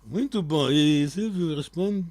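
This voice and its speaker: A man teaching a live online language lesson, quizzing his students and repeating key words slowly so they stick. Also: on the high side, a little mellow, no room sound.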